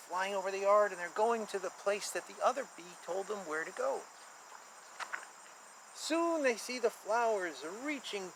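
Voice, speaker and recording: A man reading a children's picture book aloud and explaining it informally in simple terms, with an animated manner. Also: high voice, a little hiss or hum, poor audio.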